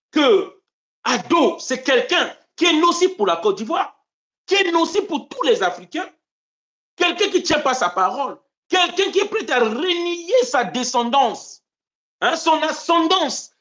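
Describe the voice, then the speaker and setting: A man from Congo-Brazzaville hosting a live stream of political commentary. He declaims with passion, then drops into low muttered asides to his viewers. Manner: impassioned, rhetorical, swings between loud declaiming and low muttered asides. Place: home in Europe.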